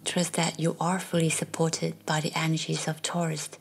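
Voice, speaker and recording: A woman guiding a meditation in a soft, soothing, breathy voice that often nears a whisper, leaving long pauses. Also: low voice, little pitch movement. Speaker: meditation guide. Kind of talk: guided meditation narration. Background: faint music.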